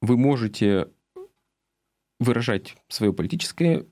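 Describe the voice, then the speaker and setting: Male commentator from Russia, mid-pitched voice, earnest and explanatory, semi-prepared talk with hesitant phrasing. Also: animated, a little slow, darkish tone. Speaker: political commentator.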